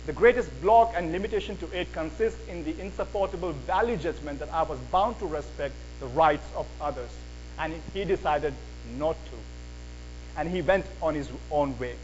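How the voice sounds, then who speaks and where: A man's voice: sits high, dull audio, slight background noise. Earnest man with a slight Mancunian accent. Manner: earnest, many "uh" fillers, rhetorical questions. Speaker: lecturer on apologetics. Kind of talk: evening lecture to an audience.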